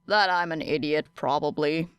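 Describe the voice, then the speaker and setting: A woman voicing a sardonic, put-upon teenage boy in an audiobook, dry, huffy and deadpan. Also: sits low, moderate pace, clean tone, dry recording, natural voice. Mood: sulky, exasperated.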